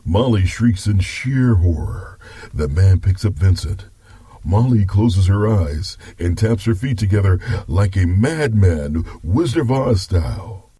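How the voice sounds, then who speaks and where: Very husky, low-voiced male storyteller. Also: animated, a little slow, mellow. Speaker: narrator. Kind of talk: scripted dramatic story narration.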